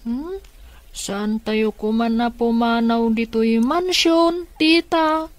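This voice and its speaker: Ilocano radio drama: innocent, questioning young child, higher register, very unhurried speech. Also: female voice, no room sound. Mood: worried, curious. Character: young child, naive and questioning, attached to an aunt.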